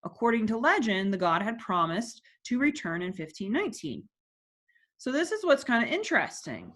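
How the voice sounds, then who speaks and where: A woman's voice: a little high, fairly bright tone. A woman teacher reading a textbook section aloud and stopping to explain terms and put questions to her class.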